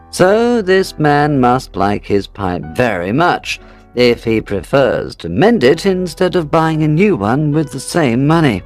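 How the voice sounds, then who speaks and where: A sharp, self-assured Victorian detective, voiced by a man, laying out his deductions slowly and with lively rises and falls. He sounds a touch impatient, with faint music under the storybook reading. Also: medium pitch. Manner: measured, reasoning aloud, a little impatient.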